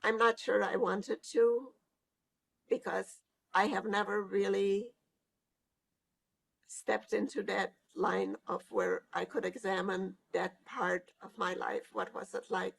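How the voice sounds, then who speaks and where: An elderly woman from Hungary, giving a recorded oral history interview as a Holocaust survivor, speaking reflectively and hesitantly with pauses. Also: medium pitch, expressive, creaky, slightly rough, rough recording. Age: older.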